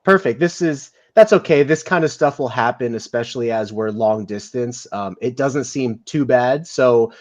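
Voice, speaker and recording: A man's voice: mid-range voice, normal speed, few pauses, darkish tone. A man hosting a live stream interview, friendly and encouraging as he asks questions. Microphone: video call.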